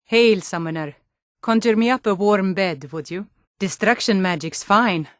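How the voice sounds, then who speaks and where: A woman playing a tough, suspicious female Nord city guard in a fantasy video game, with a blunt, gruff, challenging and wry manner. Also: mid-range voice, unhurried, no room sound, studio quality, lightly acted. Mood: teasing, gruff.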